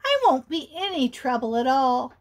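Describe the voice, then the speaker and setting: Woman voicing a squeaky Easter Bunny, breathless, insistent, very high, storybook reading. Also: very animated, normal speed. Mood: pleading. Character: excitable pleading male Easter Bunny.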